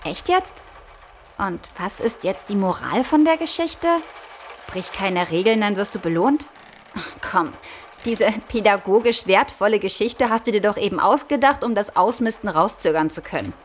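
A woman's voice: mid-range voice, animated, normal speed, a little hiss or hum, lightly acted. A woman playing a sceptical, teasing companion in radio play dialogue, with a wry conversational manner.

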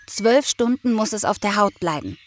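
An actress playing an earnest, hesitant young herbalist's apprentice in an audio drama, speaking tentatively. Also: female voice, mid-range voice, lively intonation, normal speed, natural voice. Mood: quiet, earnest. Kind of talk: German audio drama acting.

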